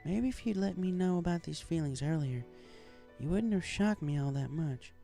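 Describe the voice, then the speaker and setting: A man voicing an easygoing, folksy female farm pony with a reassuring, unhurried manner in a story reading. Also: fairly high pitch, lively intonation, creaky, mellow, soft background music, voice pushed up. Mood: tender. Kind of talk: fan fiction story reading.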